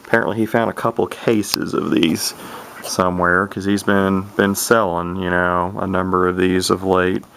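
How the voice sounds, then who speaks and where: A man's voice: deep voice, level tone, normal speed, vocal fry, a bit bright, a little hiss or hum. A man in his thirties giving casual, unscripted commentary in a folksy, rambling way, thinking aloud into a handheld camera's microphone. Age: 30s. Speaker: vintage CB radio collector showing an unboxing. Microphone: handheld camera's built-in microphone.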